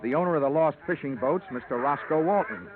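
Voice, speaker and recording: An actor playing a wry, hard-boiled insurance investigator, deadpan and wisecracking, in an old-time radio detective drama. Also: male voice, on the high side, a little fast, crowd noise, close to an everyday voice. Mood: wry, narrating. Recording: tinny vintage radio recording.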